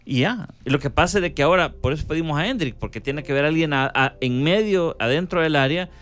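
A man, a football panelist giving halting, opinionated analysis on a radio show. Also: a little high, fairly bright tone, faint music behind. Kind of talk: casual sports chat.

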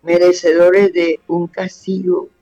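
Guy doing a prayer radio show, raspy fry in his voice, keeps repeating blessings, quiet music under it. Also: sits high, little pitch movement, slow, dull audio. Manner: fervent, repeats blessings and phrases. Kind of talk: devotional radio talk and prayer.

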